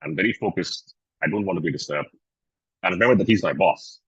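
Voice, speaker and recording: Deep husky man telling a story. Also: brisk, no room sound, dull audio. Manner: anecdotal, inviting others to share. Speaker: meeting facilitator. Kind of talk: storytelling at a club meeting.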